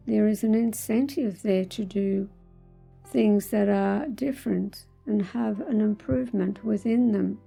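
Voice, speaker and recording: A woman leading a spiritual guided meditation, speaking in measured, deliberate phrases with pauses in a soothing manner. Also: mid-range voice, creaky, dark tone.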